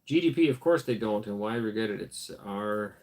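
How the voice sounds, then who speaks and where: A tired man hosting a casual homestead livestream, reading viewer comments aloud and answering in a relaxed way with fillers. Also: lowish voice, moderate pace.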